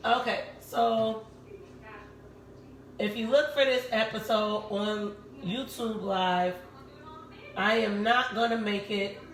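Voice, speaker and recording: A woman with a raspy voice, hosting a casual live cooking demo from her home kitchen and chatting with her viewers as she works. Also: fairly high pitch. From Puerto Rico.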